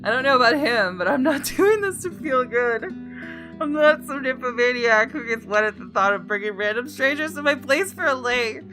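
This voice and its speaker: A woman's voice: sits high, lively intonation, normal speed. A woman reading the first-person narration of a jaded, guilt-ridden woman in a visual novel, in a dramatic confessional manner. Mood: bitter. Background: guitar game music.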